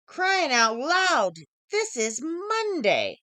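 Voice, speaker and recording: A woman's voice: high voice, big pitch swings, very slow, sharp tone, no room sound, clean studio sound, voice pushed up, heavily acted. A woman voicing an anxious, disapproving female friend who scolds and warns in a story reading. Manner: scolding, warning. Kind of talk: acted dialogue in short story reading.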